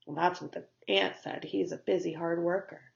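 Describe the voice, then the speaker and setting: A woman narrating a story for young children as a teacher would, in simple, deliberate phrasing. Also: on the low side, fairly even intonation, gravelly, dull audio.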